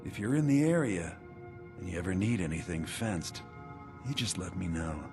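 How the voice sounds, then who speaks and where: An actor playing a sly, self-satisfied meadery conspirator and fence in a fantasy video game, smug and businesslike. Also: male voice, sits low, expressive, moderate pace. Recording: clear background music.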